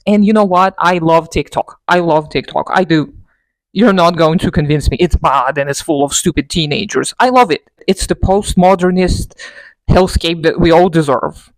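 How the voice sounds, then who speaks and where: A woman's voice: on the low side, normal speed, dry recording, low-quality recording. Dry, close-sounding woman ranting to camera. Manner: exaggerating and self-mocking, with frequent laughter. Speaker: political video blogger. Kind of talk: unscripted to-camera rant. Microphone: camera mic.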